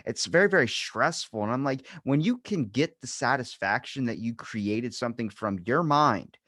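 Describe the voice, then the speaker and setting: A man with a medium-pitched voice chats casually as a podcast host. He rambles from thought to thought, stresses his words and curses freely. The recording is dry, with almost no room sound. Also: lively intonation, normal speed, fairly bright tone, dry recording. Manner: rambling, swearing, emphatic. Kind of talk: casual unscripted chat.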